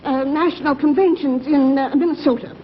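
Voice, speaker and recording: Woman playing a very high-pitched gushing old lady fan. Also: fairly even intonation, fairly quick, natural voice. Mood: proud, earnest. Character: gushing elderly woman, devoted fan club president. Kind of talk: 1950s television sketch comedy acting. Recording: muffled 1950s TV soundtrack, noisy studio audience.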